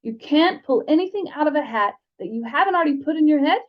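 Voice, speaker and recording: A young schoolboy wizard voiced by a woman chatters in a high, breezy voice. He rambles along in an offhand, placid way, as in a children's fantasy storybook, on a slightly dull recording. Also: animated, fast talker. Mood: puzzling aloud.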